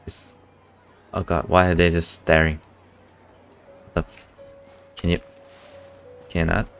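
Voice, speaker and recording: A woman's voice: a bass voice, flat delivery, slow, creaky, soft background music. A woman streamer giving casual live gameplay commentary in short, muttered, offhand reactions. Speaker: game streamer.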